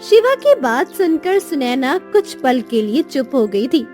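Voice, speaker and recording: High-pitched woman narrating a village tale. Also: sing-song, unhurried, warm tone, soft background music. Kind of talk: scripted story narration.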